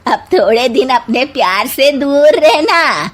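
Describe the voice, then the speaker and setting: A woman voicing a taunting, sarcastic mother-in-law in an animated cartoon, with a mocking way of talking. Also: high-pitched, slow pace, heavily acted.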